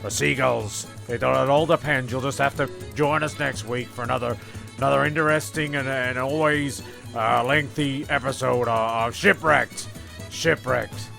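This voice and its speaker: A man narrating a comedy audio show as host, improvising in a halting, repetitive, rambling way. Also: medium pitch, steady tone, gravelly, sharp tone, faint music behind.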